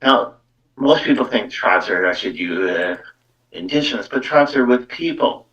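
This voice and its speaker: A man's voice: mid-range voice, lively intonation, moderate pace, fairly bright tone. A man hosting a casual live psychic show, rambling along and padding sentences with filler words. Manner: rambling, repeats filler words like actually and though. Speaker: psychic medium podcast host. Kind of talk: casual live card-reading show talk. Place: live online stream. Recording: muffled, distant mic.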